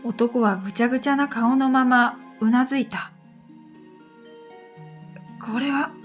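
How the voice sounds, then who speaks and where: A woman narrating a scripted first-person radio drama in a gentle, unhurried way, with breathy phrase endings. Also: sits high. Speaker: narrator and storyteller. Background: new-age music.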